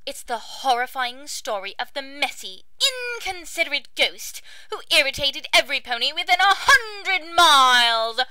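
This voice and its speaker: Woman as haughty cartoon fashionista pony, theatrical, prim, raised pitch, bright tone. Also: animated, normal speed, hardly any pauses, no room sound, heavily acted. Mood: indignant.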